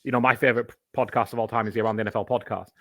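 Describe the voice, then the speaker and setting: A man's voice: medium pitch, steady tone, very fast, no echo. A man, a podcast guest, explaining a topic in casual chat, talking at length and giving examples.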